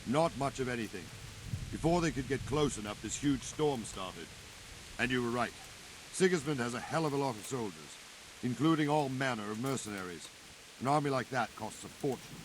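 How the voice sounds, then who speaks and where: A man's voice: mid-range voice, expressive, normal speed, natural voice. A man playing an earnest medieval castle guard on night watch in a video game cutscene. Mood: grim, conversational. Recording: hissy, noisy with rain.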